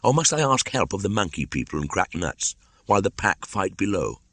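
A man's voice: low voice, sing-song, fairly quick, fairly bright tone, dry recording, pitched above the performer's own voice, full character voice. A man voicing a brave, earnest young jungle boy in a storybook, speaking in a bold declamatory way. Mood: indignant protest.

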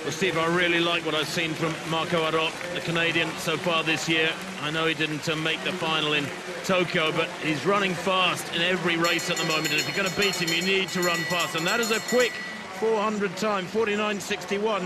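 A man's voice: sits high, fairly even intonation, a little fast. A man giving conversational expert analysis during an athletics broadcast. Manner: conversational, explains tactics and splits. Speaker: expert co-commentator. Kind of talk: analytical race commentary. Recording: broadcast commentary over loud stadium noise.

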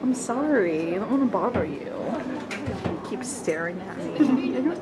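A woman's voice: medium pitch, expressive, a little slow. A young woman casually vlogging and talking playfully to cats, filmed on a handheld camera indoors. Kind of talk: casual vlog chat. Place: busy indoor cat cafe. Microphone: handheld camera at arm's length. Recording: loud crowd chatter and background noise.

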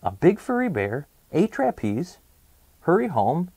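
A hurried, muddled little boy from a storybook, acted out by a man, recites in a breezy singsong. The pitch is raised and swoops a lot, with some words stretched out. The recording is dry. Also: huge pitch swings, dark tone, breathy edge, dry recording, heavily acted. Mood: hurried, confused. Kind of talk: children's picture book read-aloud.

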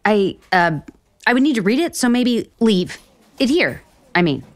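An actress playing a flustered, self-deprecating author in a romantic comedy audio drama, with an American accent and halting, deadpan asides. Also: female voice, on the high side. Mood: awkward, exasperated. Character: flustered, sardonic, self-deprecating woman author on book tour.

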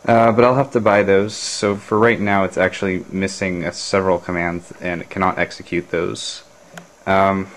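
Low-voiced man explaining his gadget. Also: slight background noise, poor audio. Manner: hesitant, lots of uh and um, clears throat. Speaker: hobbyist vlogger. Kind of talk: casual show-and-tell narration.

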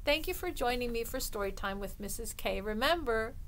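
High-voiced woman reading a children's storybook. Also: expressive, a little hiss or hum. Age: middle-aged. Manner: deliberate, drawing out phrase endings for young listeners. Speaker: storytime host reading a picture book to children. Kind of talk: expressive children's storybook read-aloud. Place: small indoor room lined with bookshelves.

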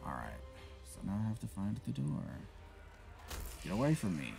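A man giving casual, profanity-laced commentary while playing a shooter game, reacting loudly to what happens on screen. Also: on the low side, huge pitch swings, moderate pace, vocal fry, music in the background. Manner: swears freely, reacts loudly to in-game events. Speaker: gameplay commentator.

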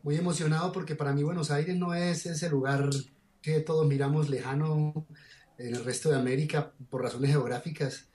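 A man's voice: fairly high pitch, fairly even intonation, moderate pace, darkish tone. A young man from Colombia with a Medellín accent, speaking expressively and laughing easily as a guest over a video call. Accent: Colombian Spanish, Medellín. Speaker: guest poet and performer.

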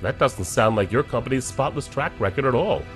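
A man's voice: mid-range voice, lively intonation, brisk, a bit bright, soft background music, natural voice. An actor playing a worried male scientist and father who talks tensely and defensively in an audio drama.

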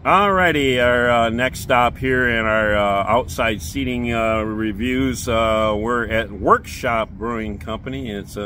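An older man in his sixties giving a relaxed, rambling beer-garden tour, filmed on a handheld phone outdoors. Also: on the low side, a little hiss or hum. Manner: relaxed, rambling, many fillers. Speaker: beer review vlogger. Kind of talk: casual vlog walk-through. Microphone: phone camera at arm's length.

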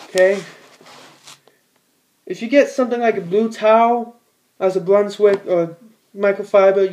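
A man's voice: high-pitched, warm tone. A teenage boy in a small room at home, giving a casual how-to in a rambling, plain-spoken way with many fillers and restarts. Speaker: bowler demonstrating ball cleaning.

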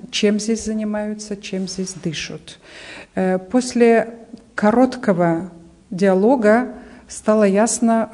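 A woman in her fifties answering interview questions as a spokeswoman, haltingly searching for words, indoors on a handheld microphone. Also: medium pitch, mellow. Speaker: association press spokeswoman being interviewed. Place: indoor art gallery. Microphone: reporter's handheld microphone.

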